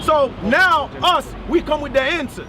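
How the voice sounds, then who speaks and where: A man's voice: very high-pitched, a little slow. A man from Haiti, preaching outdoors to passersby and often asking "you understand?". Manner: emphatic, repeats "you understand?". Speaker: street preacher. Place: outdoors on a busy street. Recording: outdoor street recording with crowd noise.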